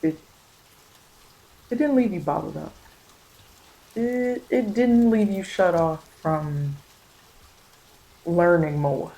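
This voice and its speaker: An American woman giving soft-spoken ASMR spiritual guidance as a tarot reader, meandering and repeating phrases to reassure. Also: on the low side, takes it slowly, pauses often, rough recording.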